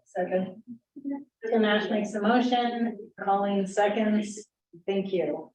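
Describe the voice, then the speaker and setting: A woman chairing a county board meeting, moving briskly through procedural items. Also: mid-range voice, steady tone, a little mellow. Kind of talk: running a meeting. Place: meeting room. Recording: echoey room, distant mic, dull sound.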